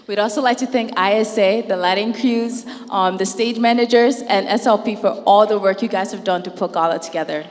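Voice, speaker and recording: A woman from Ghana emceeing a student show, reading her lines in a measured way. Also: medium pitch, darkish tone, long reverb tail. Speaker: event emcee.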